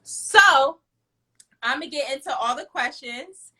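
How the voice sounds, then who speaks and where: A woman's voice: high voice, animated, slow pace, pushed and bright, low-quality recording. A woman hosting a casual, chatty interview, talking in an effusive, slangy way and hyping up her guest. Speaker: talk show host.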